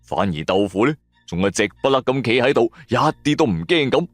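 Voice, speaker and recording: A man tells a dramatic supernatural tale in colloquial Cantonese-accented speech. His pitch swings widely as he narrates, and soft music plays behind him. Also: brisk, no room sound, studio quality. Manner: animated, colloquial storytelling. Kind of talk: dramatic first-person supernatural story narration. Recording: music bed under the voice. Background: background music.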